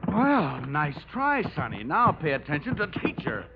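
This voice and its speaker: Breezy wisecracking american radio detective, real animated delivery, old phone-like recording. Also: male voice, on the high side, measured pace, creaky, exaggerated voice. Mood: sardonic. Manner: wry, breezy, sardonic. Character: cocky wisecracking male insurance investigator, rugged and unflappable. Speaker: radio drama actor. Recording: tinny vintage radio recording, faint music.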